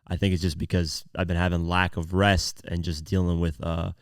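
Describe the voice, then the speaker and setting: A man's voice: deep voice, flat delivery, moderate pace, smooth, no echo, studio quality. A man hosting a podcast, giving casual, conversational news commentary with a voice slightly off from a sore throat. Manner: informal, conversational, reads articles aloud then comments. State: sore throat, voice not at full strength. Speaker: podcast host.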